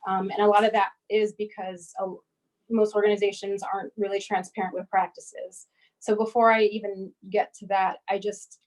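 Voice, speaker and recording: A woman born in Barbados speaks, talking to an audience in a loose, conversational way. Her delivery stays level and even, with little rise and fall, and she pauses on fillers and restarts her sentences. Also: mid-range voice, poor audio. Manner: conversational, with fillers and self-corrections. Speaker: invited speaker. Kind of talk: personal talk at a live-streamed arts event.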